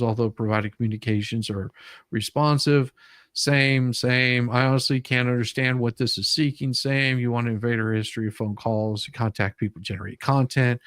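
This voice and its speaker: A man with an average-pitched voice hosts a casual commentary. He reads from documents and breaks them down bluntly. The sound is dry, with little room on it. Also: dry recording. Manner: blunt, mildly profane, explanatory. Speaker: online show host. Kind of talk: casual live commentary, reading documents aloud.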